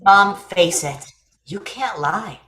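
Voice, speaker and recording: A woman's voice: mid-range voice, slow pace, roomy sound, crowd noise, lightly acted. A woman playing an earnest, eager and frank young boy in a staged play reading. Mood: frank, teasing. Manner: earnest, blunt, childlike.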